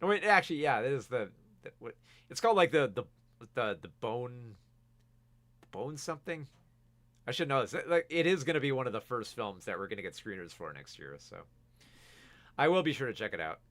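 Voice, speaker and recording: Bright-voiced man losing his voice. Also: mid-range voice, animated, brisk, pauses often, no echo. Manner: rambling, many restarts and fillers. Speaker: film review host. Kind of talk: casual video review, reading viewer comments.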